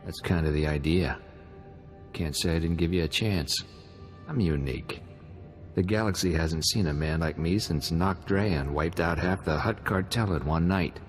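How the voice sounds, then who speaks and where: Menacing game pirate captain, extremely deep voice, boastful, mocking, long reverb, faint music. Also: male voice. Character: swaggering, menacing pirate captain, smug and vain. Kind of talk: video game cutscene acting.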